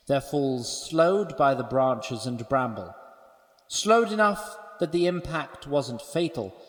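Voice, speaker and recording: A man's voice: medium pitch, big-room sound. Wry, playful male storyteller. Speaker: narrator. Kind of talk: scripted storytelling narration.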